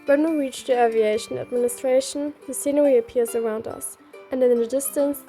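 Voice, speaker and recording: A woman's voice: high voice, steady tone, fairly quick. A woman reading a game story aloud, both the narration and the dialogue. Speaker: reader. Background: faint music.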